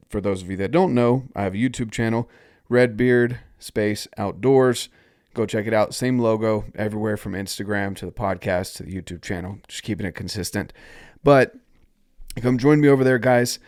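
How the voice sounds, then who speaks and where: A young man hosts a solo podcast, stuffed up from a cold, his voice sitting low. He talks in an upbeat, encouraging way and keeps inviting listeners to join in. The sound is close and dry. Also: darkish tone, dry recording. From North Carolina. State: stuffed-up nose. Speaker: podcast host.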